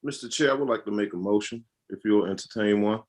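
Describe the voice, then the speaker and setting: A man's voice: fairly low pitch, fast. A man speaking as a board member in a formal virtual meeting over a video call.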